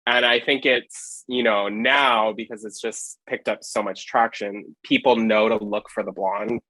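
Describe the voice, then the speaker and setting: A man's voice: on the low side, fairly even intonation, unhurried, pushed and bright, no room sound, poor audio. A man from Hawaii, a street photographer, chatting as a podcast guest, rambling with lots of fillers. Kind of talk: casual podcast chat.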